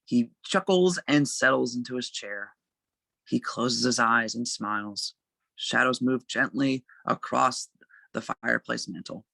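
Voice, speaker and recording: A man reads scene headings and action lines as narrator of an amateur table read. His mid-pitched voice is bright with a creaky edge, recorded fairly dry. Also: dry recording, poor audio. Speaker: narrator reading stage directions.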